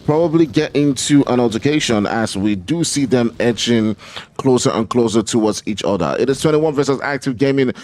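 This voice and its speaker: A man's voice: mid-range voice, a little mellow. A man with a Nigerian accent doing live esports commentary, laid-back and joking. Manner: laid-back, joking, fills pauses with hums. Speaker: esports caster.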